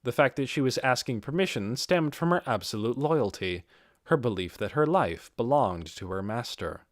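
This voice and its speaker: Expressive male audiobook narrator, lively pitch. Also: moderate pace, no room sound, clean studio sound. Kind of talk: expressive scripted fiction narration.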